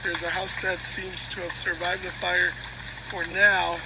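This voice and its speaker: Man, high monotone voice, halting live report, loud engine noise behind. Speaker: news reporter describing the scene. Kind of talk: halting live scene description. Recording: very noisy scene, loud engine and vehicle noise.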